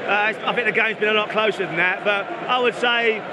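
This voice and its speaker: Male football fan, high pitch, reasoned casual punditry with self-corrections, very noisy crowd setting. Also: fairly even intonation. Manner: measured, philosophical, self-correcting. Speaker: football fan giving his verdict. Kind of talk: casual match analysis. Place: outdoors in a football stadium. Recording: very noisy outdoor stadium crowd recording. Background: loud crowd and outdoor noise.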